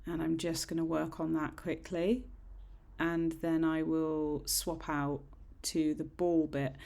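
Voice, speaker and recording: A middle-aged woman with a husky, slightly croaky voice, chatting casually through a nail tutorial in a rambling, self-deprecating way. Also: sits low, flat delivery, slow pace, darkish tone. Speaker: nail technician. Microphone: desk microphone.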